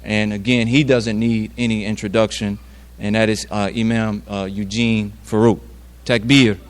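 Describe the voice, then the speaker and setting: A man hosting an event, thanking and introducing speakers informally in short, paused phrases. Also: lowish voice, flat delivery. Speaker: event emcee introducing presenters.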